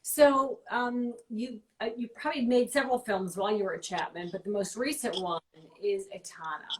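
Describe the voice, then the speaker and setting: Female host, middle pitch, friendly and upbeat, loose conversational interview style. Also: moderate pace, rough recording. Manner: upbeat, welcoming. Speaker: show host. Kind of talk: casual interview chat. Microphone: live video stream from home.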